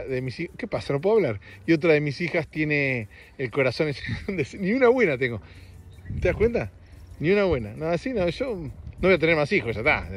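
A middle-aged man with an Argentine accent and a slightly raspy voice, hosting a casual, rambling podcast in a joking, self-deprecating way. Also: medium pitch. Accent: Argentine Spanish. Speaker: podcast host. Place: outdoors on a street.

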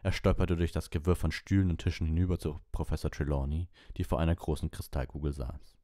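A man's voice: a bass voice, little pitch movement, fast talker, mellow, no echo, studio quality. A man reading fiction aloud as an audiobook narrator. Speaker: audiobook reader.

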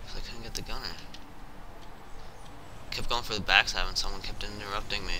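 A man's voice: lowish voice, fairly even intonation, a little fast, noisy background. A man giving casual, reactive live commentary while playing a shooter game, in short exclamations.

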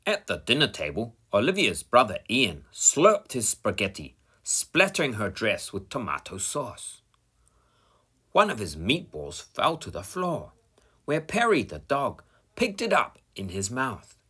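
A man reading a children's picture book aloud at an unhurried pace, his voice husky and bright. His pitch rises and falls widely as he tells the story. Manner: slow, expressive reading. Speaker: storybook reader.

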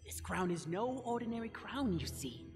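A woman playing a mysterious, flattering bearer of a magic crown in an animated fairy tale. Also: mid-range voice, moderate pace, over music. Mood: sly, enticing. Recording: long hall reverb.

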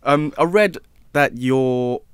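A man's voice: mid-range voice, takes it slowly, clean tone, no room sound. A young man from England hosting a casual radio interview in a small studio, easygoing and affirming. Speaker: radio host. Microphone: studio microphone.

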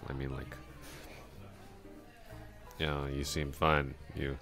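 A man playing a drunk, rambling, awkwardly friendly office coworker in an intimate ASMR roleplay, halting and repeating himself as he slurs along. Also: rumbling low, flat delivery, a bit bright, soft background music, exaggerated voice. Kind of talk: intimate ASMR roleplay, one-sided conversation.

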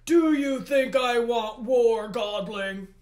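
A woman voicing a furious, imperious male god of the underworld for a storybook, with booming, emphatic, drawn-out words. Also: lightly acted. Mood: menacing rage. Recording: roomy, echoey indoor sound.

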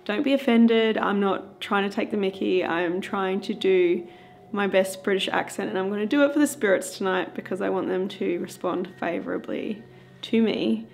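A woman's voice: medium pitch, normal speed, gravelly, a little hiss or hum. Chatty young woman ghost-hunting vlogger. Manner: chatty, giggly, upbeat. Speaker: paranormal vlogger host. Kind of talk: casual vlog presenting. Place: inside dim old stone prison cell block.

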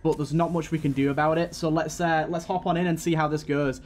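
A man's voice: a little high, flat delivery, quick pace, a little mellow. A man hosting a let's play, giving running commentary on a game. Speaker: gaming video host. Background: game music.

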